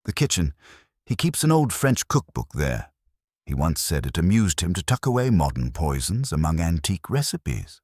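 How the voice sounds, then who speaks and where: A man voicing an anxious, bitter married woman in an audiobook mystery, in a hushed manner. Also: deep voice, very animated, normal speed, no room sound, clean studio sound. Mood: hesitant.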